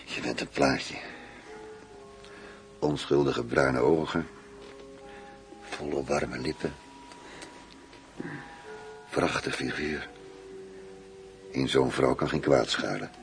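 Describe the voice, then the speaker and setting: A self-assured police infiltrator in an intimate radio play. His voice is deep with a creaky edge, teasing and worldly, over faint music. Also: takes it slowly, long pauses, heavily acted. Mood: tender, flirtatious. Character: smug, worldly German undercover police chief and lover.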